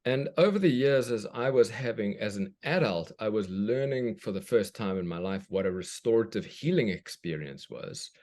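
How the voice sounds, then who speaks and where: A man's voice: lowish voice, lively intonation, moderate pace, few pauses, darkish tone. Reflective man from South Africa. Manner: thoughtful, searching, with frequent restarts. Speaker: guest, children's book author. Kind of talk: reflective conversation.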